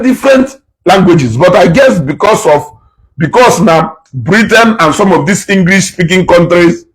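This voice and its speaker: A man's voice: high-pitched, breathy edge, rough recording. A man giving passionate reaction commentary, preachy and repeating words for emphasis. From Nigeria, Igbo.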